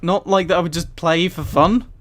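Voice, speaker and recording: A man's voice: high voice, fairly even intonation, normal speed, a bit bright. A man casually reading aloud on a livestream and commenting, easily amused and giggly. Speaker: streamer.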